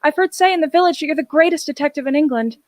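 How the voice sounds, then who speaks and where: A woman's voice: high voice, racing, no echo, studio quality, natural voice. A woman playing an anxious, humble village mother in a radio drama, plain-spoken, deferential and pleading.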